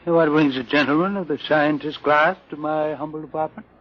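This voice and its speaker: An actor playing a solemn old keeper of a sacred legend, reciting in an incantatory, oracular way in an old radio drama. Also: male voice, fairly high pitch, steady tone, a little fast, natural voice. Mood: guarded, questioning. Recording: muffled old radio recording with music behind.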